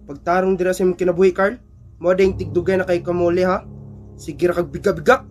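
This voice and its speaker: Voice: medium pitch, fairly even intonation, fast, faint music behind, lightly acted. An actor playing a stern, disapproving, angry father with a Cebuano accent in a radio drama. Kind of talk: amateur radio drama acting.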